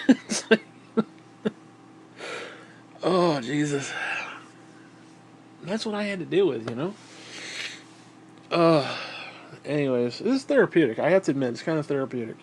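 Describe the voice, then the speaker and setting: A man's voice: fairly high pitch, expressive, a little slow, long pauses, darkish tone, a little hiss or hum. A man with a stuffed-up, nasal voice, talking in a bitter, profane, rambling monologue into a tablet. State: stuffed-up nose. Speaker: vlogger.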